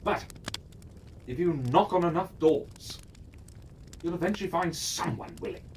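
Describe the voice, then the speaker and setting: A man's voice: sits high, animated, unhurried. A man telling a fantasy story in character, chatty and musing, often asking himself questions aloud. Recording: distant mic with faint background noise.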